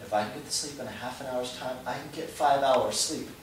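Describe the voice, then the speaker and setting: A man's voice: medium pitch, a little fast, very echoey, distant mic, noisy background, lightly acted. An actor playing an exasperated young husband and lawyer, dry and sarcastic, arguing with his wife late at night. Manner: dry, sarcastic, rising to yelling. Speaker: husband in a quarrel.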